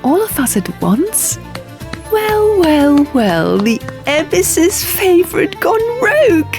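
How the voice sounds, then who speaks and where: A woman's voice: extremely high pitch, sing-song, slow, voice pushed up, heavily acted. A woman voicing a brash, gleeful young rebel in an audiobook, with a boisterous, teasing manner. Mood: gleeful, goading. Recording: music under the reading.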